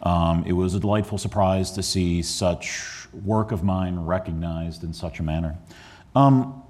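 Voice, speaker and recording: Low-voiced man giving a halting history lecture. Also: darkish tone. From Chicago area, USA. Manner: earnest, with frequent ums and restarts. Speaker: historian giving a book talk. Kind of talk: museum lecture. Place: museum lecture hall.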